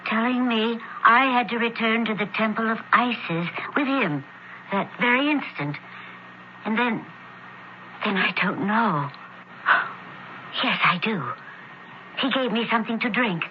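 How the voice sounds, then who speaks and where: High-voiced, anxious young Roman lady. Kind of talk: radio drama acting. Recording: muffled, faintly noisy radio broadcast.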